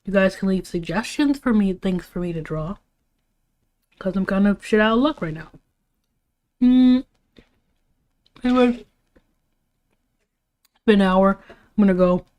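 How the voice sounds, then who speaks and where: A woman's voice: medium pitch, unhurried, long pauses, a little mellow. A tired woman talking casually on a livestream, giving her opinions in an informal, rambling way with some casual swearing. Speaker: livestream host.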